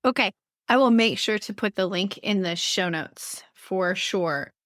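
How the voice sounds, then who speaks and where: A woman hosting a writing podcast, asking long, chatty questions full of fillers and playful asides. Her voice has a middle pitch. The sound is dry and close, with no room sound. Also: measured pace, a bit bright, no echo. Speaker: podcast host. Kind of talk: podcast interview conversation.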